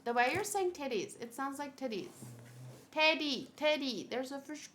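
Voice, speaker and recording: A woman's voice: high-pitched, expressive, moderate pace, a bit bright, slight background noise. A thirty-year-old woman streamer chatting casually in a gushing, cutesy, rambling way. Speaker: streamer, host of a gaming channel. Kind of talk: casual livestream chat while unboxing plush gifts.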